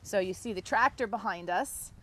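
A woman's voice: medium pitch, normal speed, sharp tone. A middle-aged woman from Long Island, chatting casually about her homestead outdoors, laughing easily, recorded by a camera a few feet away. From Long Island, New York. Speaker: homesteading vlogger. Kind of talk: casual chat. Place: outdoors by a tent garage.

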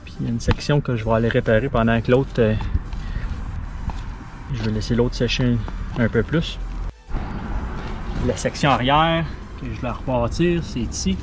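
Québécois dude doing boat repair outside, chill step by step talk, action cam close up, music under it. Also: male voice, medium pitch, moderate pace, pauses often. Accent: Québécois French. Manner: casual step-by-step explaining while working. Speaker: sailing vlogger. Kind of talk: hands-on repair demo. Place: outdoor boatyard under a hull. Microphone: action camera close up.

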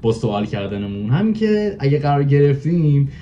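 A man's voice: mid-range voice, sing-song, mellow, a little hiss or hum. A man in his twenties chatting casually as a podcast guest, explaining in colloquial speech and repeating words for emphasis. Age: 20s. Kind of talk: casual chat. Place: living room, indoors. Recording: dull, muffled video call audio.

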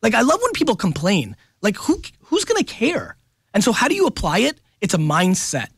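A man in his forties speaking as a radio guest, emphatic and animated, keeping his points moving with quick "right?" tags. His voice sits a touch higher than most men's. It is recorded close on a studio mic with a very dry, dead sound. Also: slightly rough, dry recording. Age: 40s. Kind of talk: casual radio interview. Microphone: studio microphone up close.

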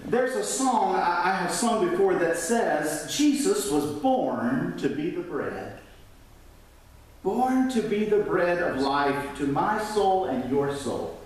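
Male pastor, 50s, slow and highly animated sermon delivery, heard from afar in a roomy sanctuary. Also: a little hiss or hum. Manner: dramatic preaching, swelling up then dropping to near whisper; gestures widely at the pulpit. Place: large church sanctuary. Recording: echoey church from a distance.

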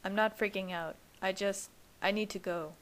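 A woman's voice: medium pitch, steady tone, moderate pace, natural voice. A woman voicing a tense young man who mutters his lines anxiously.

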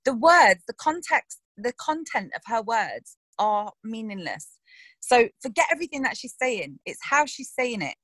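A young actress talking casually in an interview about a character she played, animated and quick to act out quoted lines. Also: on the high side, no echo, poor audio.

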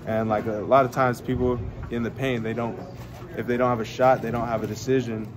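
A man's voice: medium pitch, brisk. A young man, a college basketball player, answering reporters after a game in a casual, rambling way full of fillers. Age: young adult. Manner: relaxed, rambling, many fillers and restarts. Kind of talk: postgame media scrum. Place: arena hallway. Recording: crowd noise in arena hallway.

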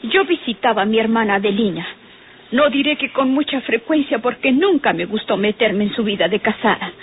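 Huffy proper mexican spinster done by an actress, kinda high voice, radio drama, thin old-timey sound. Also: female voice, expressive, a little fast, natural voice. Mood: indignant, defensive. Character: prim, indignant unmarried woman under questioning. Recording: tinny, slightly hissy vintage radio recording.

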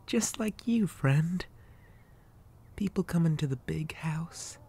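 A man playing a creepy, possessive living doll boy in an ASMR roleplay, soft and often whispering, with gentle, unsettlingly polite childlike sweetness. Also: fairly high pitch, lively intonation, slow pace, gravelly, breathy edge, heavily acted. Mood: politely curious.

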